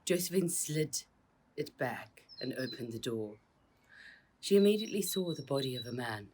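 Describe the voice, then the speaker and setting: A woman narrating her own children's storybook in a measured, expressive read-aloud manner. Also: very deep voice, a little hiss or hum. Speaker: author reading own book.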